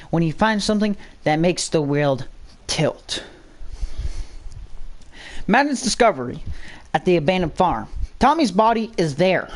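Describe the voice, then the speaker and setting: A woman reading a scripted story aloud as a dramatic narrator. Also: medium pitch.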